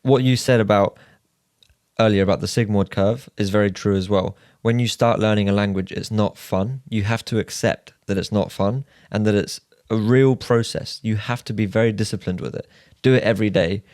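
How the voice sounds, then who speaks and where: A man's voice: fairly low pitch, steady tone, moderate pace, darkish tone, no echo, studio quality. A man co-hosting a casual podcast discussion, giving advice in an orderly way and laying out numbered points. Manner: structured, advising, lays out numbered points. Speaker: podcast co-host.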